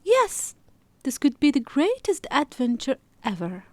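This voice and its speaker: Woman voicing a dreamy, wondering little girl, high-pitched. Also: wildly swooping pitch, a little slow, a little mellow, no room sound, heavily acted. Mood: excited. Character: dreamy young girl, playful, curious, a little unsure.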